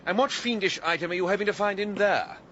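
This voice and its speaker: An actor playing a pedantic, reluctant gentleman sidekick in a radio drama, with an English accent and a proper, exasperated manner. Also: sits high, fast, natural voice. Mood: exasperated, flustered. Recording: dull sound, clear background noise.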